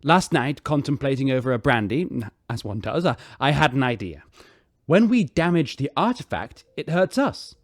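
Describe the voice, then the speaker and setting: An actor playing a self-doubting, poetic spaceship captain in a sci-fi radio drama, rambling theatrically. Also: male voice, medium pitch, big pitch swings, normal speed, dry recording, clean studio sound. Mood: earnest, halting.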